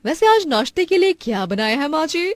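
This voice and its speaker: Female narrator as vain young cartoon daughter-in-law, high and loud, haughty, indignant, very dry sound. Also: big pitch swings, a little fast, dry recording. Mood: showing off. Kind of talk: Hindi cartoon family drama.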